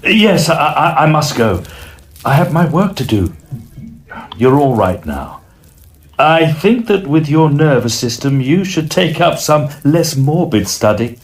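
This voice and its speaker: A highly animated male voice with sweeping intonation, as a cautious, earnest Victorian student in an audio drama. His delivery is emphatic and dramatic, a little halting, like first-person storytelling. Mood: earnest, hurried.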